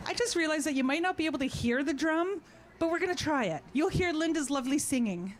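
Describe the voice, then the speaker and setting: A woman's voice: high-pitched, lively intonation, fast talker. A woman who performs as a throat singer, chatting playfully and instructing an audience in an informal way from an outdoor festival stage. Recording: live outdoor sound, clear background noise.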